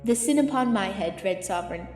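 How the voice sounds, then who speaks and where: A woman's voice: mid-range voice, expressive, fairly quick, a little mellow, lightly acted. A woman acting a learned, earnest archbishop in an amateur Shakespeare audio drama, with formal, declamatory delivery. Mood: urging. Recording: echoey hall, music behind.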